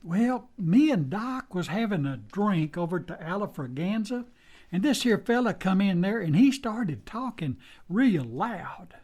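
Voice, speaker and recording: A man's voice: high voice, very animated, unhurried, a little mellow, exaggerated voice. A man playing an anxious, loyal deputy in an old-time western radio drama, with a folksy American drawl and a stammering, deferential manner. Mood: deferential, chatty.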